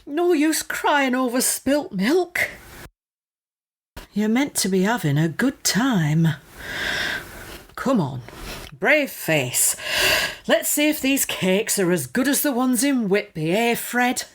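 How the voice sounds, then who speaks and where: A woman's voice: on the high side, big pitch swings, takes it slowly, long pauses. A woman playing a chatty, plucky older widow in an amateur radio play, with a Northern English accent and an earthy, self-deprecating manner. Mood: bracing herself. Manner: earthy, self-deprecating, uses homely dialect. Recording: faint music, home video-call recording.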